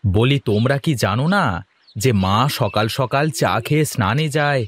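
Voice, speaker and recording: A man's voice: mid-range voice, normal speed, natural voice. A man playing a teasing, mildly bossy husband and devoted son in a cartoon drama. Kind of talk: cartoon voice acting, fairy-tale drama.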